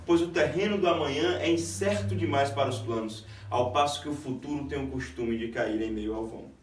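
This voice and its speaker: A man's voice: a little high, a little hiss or hum, natural voice. A man with a Brazilian accent, an actor reciting a scripted theatrical monologue. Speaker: stage actor. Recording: echoey room, distant microphone.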